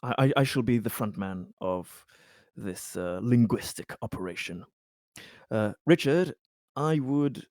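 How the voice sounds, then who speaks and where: Man acting a slow, formal corporate diplomat. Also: fairly low pitch, darkish tone, no room sound, clean studio sound. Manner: halting, formal, wordy. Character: polite, formal male colonial corporate diplomat.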